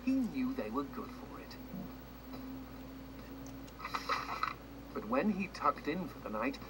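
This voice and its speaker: An actor playing a wry, macabre storyteller who narrates a grim storybook tale in a video game, dramatic and tongue-in-cheek, heard through noisy TV audio. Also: male voice, mid-range voice, big pitch swings, normal speed, close to an everyday voice. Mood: grimly playful.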